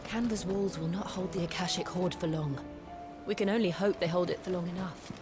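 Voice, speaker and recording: A woman's voice: fairly low pitch, fairly quick, natural voice. Woman playing a hardy innkeeper over music. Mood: worried. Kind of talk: scripted fantasy game dialogue.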